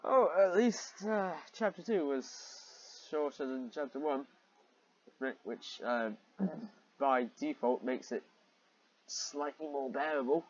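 A man reading fan fiction aloud with sarcastic, exasperated asides, often clearing his throat. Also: fairly high pitch, slow. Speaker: host and reader of fan fiction. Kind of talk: read-aloud of fan fiction with sardonic commentary. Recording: dull, muffled lo-fi microphone.

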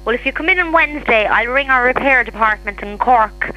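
Female Irish receptionist, crisp bright tone, slightly high, patiently explaining over the phone. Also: lively intonation, fairly quick, faint music behind, low-quality recording. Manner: patient, repeats and clarifies. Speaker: hearing aid shop receptionist. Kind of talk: customer service phone call.

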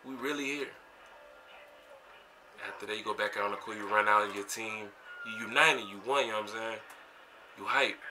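A man's voice: lowish voice, normal speed, long pauses, background noise. An American man in his twenties reflecting casually for a vlog, talking in a slangy, emphatic, conversational way. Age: 20s. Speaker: vlogger and college basketball player. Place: small bedroom, indoors. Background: music.

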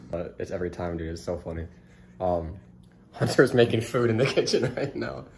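A man's voice: low-pitched, expressive, moderate pace, creaky. Laid-back young man vlogging handheld. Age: young adult. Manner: laid-back, laughs often, trails off with fillers. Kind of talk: casual vlog narration. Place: outdoors on an abandoned site and in a house. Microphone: handheld camera at arm's length. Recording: noisy handheld camera audio.

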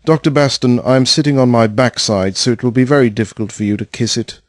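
A man's voice: mid-range voice, a little fast, no room sound, clean studio sound, lightly acted. A man acting a brusque, impatient, sarcastic investor in an audio drama.

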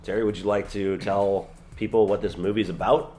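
Lowish-voiced young man reviewing films. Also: level tone, moderate pace, warm tone, soft background music. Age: young adult. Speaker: film review co-host. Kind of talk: casual movie review chat. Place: small indoor room with shelves of discs.

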